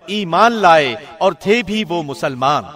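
A man with a fairly high-pitched voice reads translated scripture. His delivery is formal and measured, in the manner of a radio presenter working from a script. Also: expressive, a bit bright. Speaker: translation reader, radio host.

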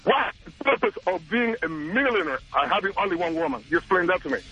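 A man with a Cuban accent calls in over a muffled phone line, his voice high and husky. He talks emphatically, preaching his point with rhetorical questions. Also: expressive, quick pace, sharp tone. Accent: Cuban Spanish. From Cuba. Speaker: caller. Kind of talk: call-in radio chat. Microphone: telephone.

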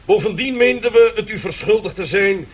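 An actor playing an evasive, courteous Soviet envoy in a 1970s radio drama, formal and polite. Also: male voice, sits high, moderate pace, slightly rough. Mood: politely evasive.

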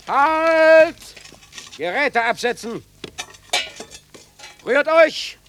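A man's voice: very high-pitched, lively intonation, extremely slow, fairly bright tone, slight background noise, full character voice. An actor playing a harsh male prison sergeant in a radio play, barking out clipped commands. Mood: barking orders. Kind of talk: 1970 German radio play acting.

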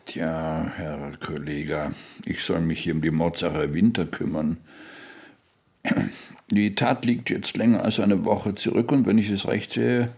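An actor playing a dry, official detective in a radio play, deliberate and matter-of-fact. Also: male voice, low-pitched, steady tone, a little mellow. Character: dry, official male detective sent from the capital. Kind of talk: German radio play acting.

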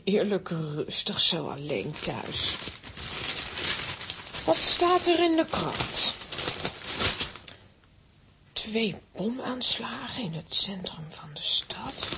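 A woman's voice: medium pitch, big pitch swings, very slow, long pauses, background noise, full character voice. A woman acting a fussy but fond middle-aged aunt in a children's audio drama. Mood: musing to herself.

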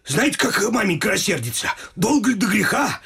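A chatty, simple servant fellow in a theatrical radio play. His delivery swings up and down, with a gravelly creak and a bright, forward edge. He is eager, deferential and folksy. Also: high-pitched, sing-song, brisk, full character voice. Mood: coaxing, worried. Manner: folksy, deferential, repeats a pet filler phrase. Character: talkative, simple-minded male manservant, eager and gossipy. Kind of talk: theatrical radio comedy acting with some singing.

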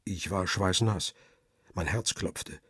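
A wary, world-weary photographer in a radio crime drama. His voice is low and heavily husky, recorded close and dry. He speaks in terse, reflective phrases as he tells his own story. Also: slow, fairly bright tone, no room sound, full character voice. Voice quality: husky, rough. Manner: reflective, terse first-person narration.

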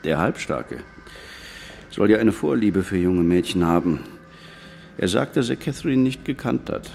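Deep, gravelly, measured police detective. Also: male voice, animated, darkish tone, exaggerated voice. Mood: measured, reflective. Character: calm, probing male police detective on an island. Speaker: actor in a radio play. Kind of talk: naturalistic German radio drama dialogue.

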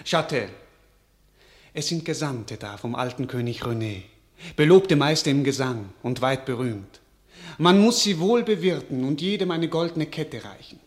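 A beleaguered king in a classic radio play, voiced by a man, swinging between weary resignation and impassioned outcry. His voice sits a little high and he declaims in measured verse. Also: animated, close to an everyday voice. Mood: dismissive. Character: beleaguered young king, weak and desperate. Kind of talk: 1950s-style German radio drama acting.

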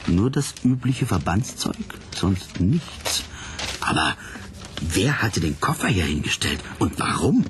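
Low-voiced, terse amateur sleuth. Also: male voice, very animated, slow pace, noisy background, exaggerated voice. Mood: wary, suspicious. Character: sleuthing male narrator, suspicious, fond of gore. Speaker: narrator. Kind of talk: scripted hard-boiled detective narration.

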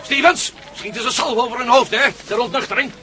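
An actor playing a bold, wry, tough adventurer in a radio play, giving commands. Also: male voice, very high voice, fast, bright, forceful tone. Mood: hard, commanding. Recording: noisy radio drama with sound effects.

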